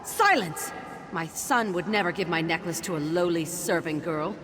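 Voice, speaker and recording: A woman's voice: fairly low pitch, normal speed, natural voice. A woman playing a stern, haughty, cruel noblewoman and mother in video game drama style. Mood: imperious anger. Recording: cavernous reverb, noisy game audio.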